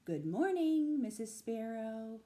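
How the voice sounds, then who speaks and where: A woman's voice: a little high, sing-song, slow, mellow. Woman voicing a grumpy, emphatic storybook bear. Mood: greeting. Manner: blunt, emphatic, scolding. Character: grumpy, stern male bear in a picture book.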